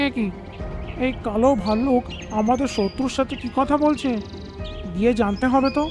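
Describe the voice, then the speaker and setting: Woman playing little parrot, high voice, anxious, alert, cartoon acting, music behind. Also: moderate pace, natural voice. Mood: suspicious.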